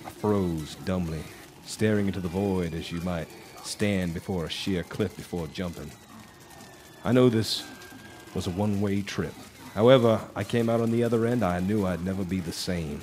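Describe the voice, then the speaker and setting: A man's voice: deep voice, normal speed, natural voice. An actor playing a bitter, haunted, hard-drinking guitarist, telling his tale in a brooding, theatrical noir radio drama style. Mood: grim, haunted. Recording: live stage recording with background noise.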